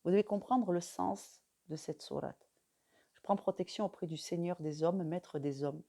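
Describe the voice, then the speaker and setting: Calm French-speaking woman teaching. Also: fairly low pitch, vocal fry. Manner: calm, measured, pausing often with fillers. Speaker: religious teacher. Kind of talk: instructional talk to camera.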